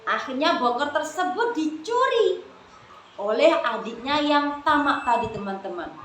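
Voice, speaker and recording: Woman, children's storyteller, high swooping voice, unhurried dramatic pauses, noisy recording. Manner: expressive, addresses listeners often, dramatic pauses. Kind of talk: animated storytelling to young children. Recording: echoey room, clearly noisy background.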